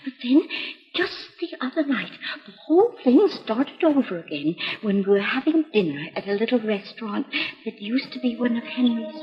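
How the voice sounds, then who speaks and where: A woman's voice: on the high side, expressive, moderate pace, natural voice. A woman playing a fretful, chatty wife. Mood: worried. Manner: anxious, chatty storytelling. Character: fretful middle-aged wife confiding in a doctor. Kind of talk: 1950s educational film acting. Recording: dull reverberant old film soundtrack with music.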